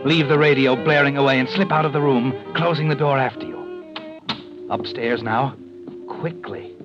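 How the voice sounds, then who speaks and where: An actor as ominous old-radio mystery narrator, muffled recording. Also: male voice, mid-range voice, steady tone, moderate pace, over music, lightly acted. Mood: ominous, suspenseful. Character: sardonic male narrator, cool, ominous voice of conscience.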